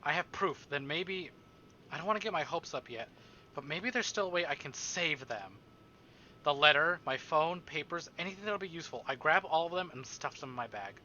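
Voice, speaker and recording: A man's voice: fairly high pitch, animated, a little fast, sharp tone, lo-fi sound, a little hiss or hum. A man livestreaming a visual novel, reading it aloud dramatically and adding casual joking asides.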